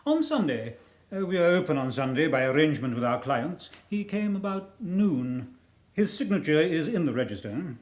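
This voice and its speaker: An actor playing a prim, guarded safe-deposit manager in a radio drama, speaking in a formal, precise, officious way. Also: male voice, on the high side, big pitch swings, normal speed. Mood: reluctant, guarded. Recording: roomy, echoing sound.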